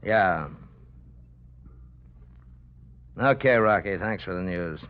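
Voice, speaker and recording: An American actor playing a cool, cagey nightclub racketeer, curt and defiant, in 1940s radio crime drama style. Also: male voice, low-pitched, normal speed, lightly acted. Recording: dull, noisy old radio recording.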